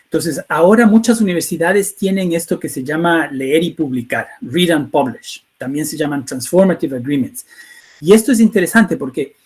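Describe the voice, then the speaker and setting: A middle-aged man from Ecuador giving a relaxed webinar lecture, often restarting phrases and tagging sentences with questions. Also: fairly high pitch, normal speed. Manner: frequent fillers and restarts, rhetorical tag questions. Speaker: webinar speaker, researcher. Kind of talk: lecture-style talk.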